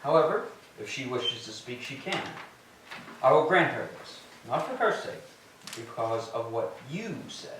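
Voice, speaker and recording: A man's voice: mid-range voice, big pitch swings, a little slow, a bit bright. A man playing a vengeful, commanding ancient Greek king and wronged husband in a staged tragedy reading, declaiming imperiously. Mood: grudging. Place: church, live staged reading. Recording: echoey church, distant mic, faint noise.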